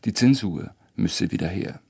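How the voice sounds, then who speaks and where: A man's voice: low voice, measured pace, vocal fry, darkish tone, breathy edge. A man hosting a radio programme with scripted narration. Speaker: radio host.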